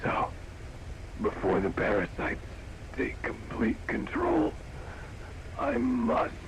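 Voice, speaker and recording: An actor playing an infected laboratory staffer in a game, desperate yet grimly resolute, halting and strained as he records a confession. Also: very high voice, huge pitch swings, very noisy, full character voice. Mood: feverish desperation.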